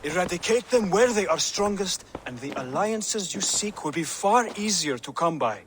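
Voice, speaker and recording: An actor playing an earnest, courteous young male assassin acolyte in a video game, speaking formally and with measured solemnity. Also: sits high, animated, a little hiss or hum. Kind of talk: dramatic video game acting.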